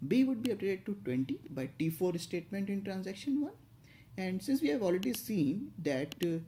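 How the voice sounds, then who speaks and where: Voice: mid-range voice, mellow, slight background noise. An instructor narrating an explanatory tutorial, going step by step with some hesitation and repetition.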